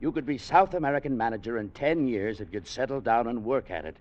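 A man's voice: mid-range voice, animated, fast, close to an everyday voice. An actor playing a bossy, condescending American manager who needles his employee in an old radio drama. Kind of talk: 1940s-50s radio drama acting. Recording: dull vintage radio recording.

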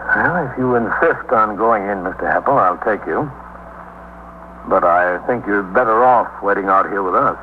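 A man playing a steady, firm police captain in a 1950s radio drama, measured and patient. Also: on the low side, close to an everyday voice. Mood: firm, reassuring. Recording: tinny, noisy 1950s radio recording.

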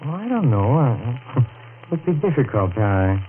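A man's voice: medium pitch, big pitch swings, measured pace. An actor playing a desperate man who has killed a rival, stammering and breaking into hysterical laughter, in a 1940s radio drama. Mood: rehearsing. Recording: tinny lo-fi 1940s radio broadcast with hiss.

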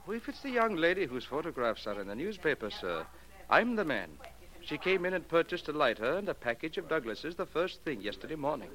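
An actor playing a polite, formal tobacco shop clerk in a 1940s radio drama. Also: male voice, fairly high pitch, big pitch swings, fairly quick, a bit bright, rough recording. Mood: helpful. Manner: deferential, formal.

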